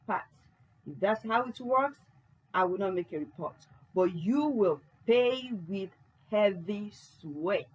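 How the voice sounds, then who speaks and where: A woman reading the lines of a scorned, vengeful wife in a stage play, accusing and threatening with slow emphasis. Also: medium pitch, lively intonation, breathy edge, poor audio. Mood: angry.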